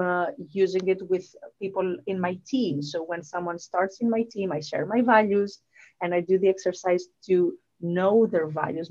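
A woman with a Southern European accent speaks in a somewhat low voice as a coach being interviewed. Her delivery is conversational and explanatory, with questions she answers herself. The recording is a little muffled and lo-fi. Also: darkish tone. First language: not English. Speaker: guest, leadership coach.

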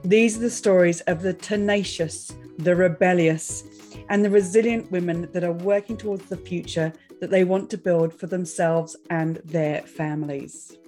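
A woman's voice: lowish voice, fairly even intonation, moderate pace, darkish tone, faint music behind. A woman hosting a podcast, reading a scripted intro and then interviewing a guest with enthusiasm and encouragement. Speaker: podcast host.

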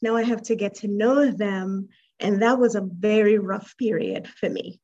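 A woman from Trinidad and Tobago, a guest telling her life story in an interview, reflective and hesitant, over muffled call audio. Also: mid-range voice. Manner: reflective, unhurried, with soft laughs and hesitations.

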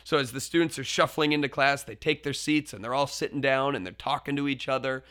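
A man's voice: mid-range voice, fairly even intonation, fairly quick, dry recording, studio quality. A man who is a teacher and podcast host, telling a classroom story in an earnest, reflective way and often restarting his phrases. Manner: builds up to loud, forceful quoted shouts in stories.

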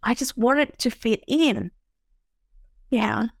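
A woman's voice: fairly high pitch, takes it slowly, gravelly, husky, dry recording, studio quality. A woman from Vietnam, hosting a podcast, talking reflectively about her own life in a searching way with fillers and restarts. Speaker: podcast host. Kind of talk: reflective personal talk.

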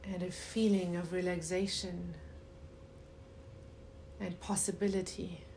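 A woman leading a guided meditation in a soothing, unhurried way with long pauses and a soft voice that turns breathy at times. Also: lowish voice, little pitch movement, a little hiss or hum.

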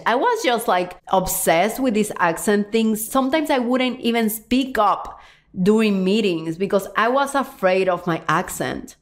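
A middle-aged woman from Cuba with a Cuban Spanish accent, a native Spanish speaker, hosting a solo podcast as a confidence coach, earnest and animated, slowing down to stress points and hurrying through asides. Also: medium pitch. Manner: earnest, animated, slows for emphasis and speeds up in asides.

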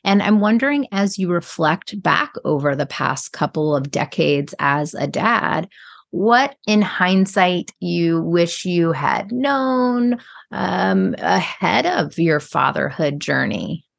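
Low, creaky-voiced woman hosting an interview. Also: expressive, slow pace. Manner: affirming, carefully paraphrases the guest. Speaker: interview host. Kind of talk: reflective podcast interviewing.

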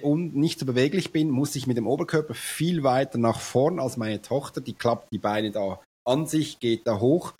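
A hoarse man hosts a podcast in a chatty, self-deprecating way. His voice sits at a middle pitch and sounds husky, and he tells personal anecdotes as he talks. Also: darkish tone. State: hoarse from a cold. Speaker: podcast host. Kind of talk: podcast conversation.

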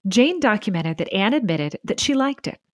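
Female narrator, mid register, for scripted history podcast. Articulate, measured storyteller, very dry sound. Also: mid-range voice, sing-song, dry recording.

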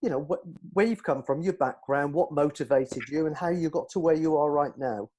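Mellow, slightly high male interviewer. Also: moderate pace. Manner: encouraging, frequent backchannel. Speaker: interviewer host. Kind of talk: casual interview.

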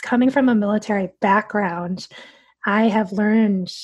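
Husky woman dietitian on a podcast, talks straight and candid. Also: mid-range voice, measured pace, a little mellow, lo-fi sound. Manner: direct, earnest, candid. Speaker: guest, dietitian. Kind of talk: podcast interview answers.

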